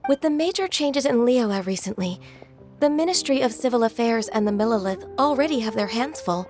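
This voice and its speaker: Earnest gentle official girl, voiced by a woman, slightly high, measured, soft game music under. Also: expressive, close to an everyday voice. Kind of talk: video game dialogue. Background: faint game music.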